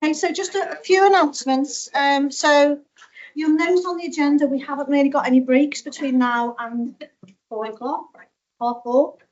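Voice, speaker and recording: A woman chairing a conference session, giving announcements and introducing a speaker in a relaxed, lightly joking way. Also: high voice, moderate pace, lo-fi sound. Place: conference room.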